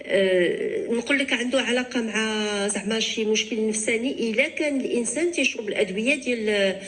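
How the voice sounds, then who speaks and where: A woman's voice: a little high, fairly bright tone. A woman doctor with a Moroccan accent, answering callers on a radio advice show, mixing in French and restarting often. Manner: fast Darija mixed with French, many restarts.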